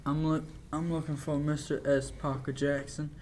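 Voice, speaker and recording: A young male amateur actor playing a nervous, evasive old clock repairman in a halting, polite manner, in 1940s radio play style. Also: a little high, level tone, darkish tone, lightly acted. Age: young adult. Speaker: amateur high school actor.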